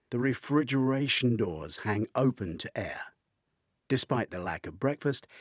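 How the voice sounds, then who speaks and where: A man's voice: fairly low pitch, breathy edge, no room sound, clean studio sound. An older man, an author reading his own thriller as audiobook narration.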